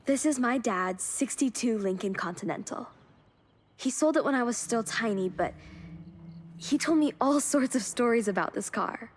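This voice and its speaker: A woman's voice: on the high side, expressive, normal speed, close to an everyday voice. An actress playing a friendly, sincere young woman who is a car enthusiast in a video game. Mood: nostalgic, heartfelt. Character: May, young enthusiastic, warm-hearted woman car hunter. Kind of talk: video game cutscene voice acting. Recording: engine and ambient noise behind. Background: vehicle sounds and ambience.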